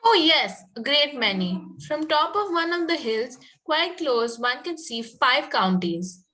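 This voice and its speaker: A woman's voice: sits high, big pitch swings, unhurried, pushed and bright, full character voice. A woman reading the part of a sweetly polite but spirited young country girl in a Victorian stage comedy, pointed in manner and stumbling a little over lines. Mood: barbed sweetness. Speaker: table-read participant.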